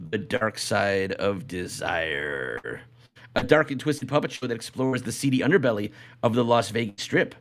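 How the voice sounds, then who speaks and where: A man hosts a live podcast in a loose, conversational style. His voice sits at a middling pitch, and he rambles, often circling back to repeat his phrases. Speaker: podcast host. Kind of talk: casual live podcast chat.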